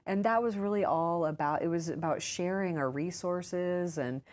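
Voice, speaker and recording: A woman with an American accent and a low-pitched voice answers as an interview guest. She talks informally and chattily, sprinkling in "like" and "you know" as she goes. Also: normal speed, darkish tone. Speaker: interview guest, author and urban farmer. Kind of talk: casual conversational interview answers.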